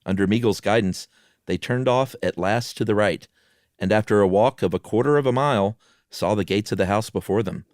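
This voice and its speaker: A man's voice: fairly low pitch, a little fast, a little mellow, dry recording, studio quality. A man co-hosting a podcast, moving between casual banter and dramatic storytelling. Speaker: podcast co-host and story narrator.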